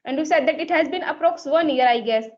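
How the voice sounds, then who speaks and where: High-pitched North Indian woman learning English. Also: darkish tone. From Uttar Pradesh, India. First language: Hindi. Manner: repeats herself, halting learner English. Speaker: English learner and conversation partner. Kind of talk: casual video-call conversation. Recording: muffled lo-fi call audio.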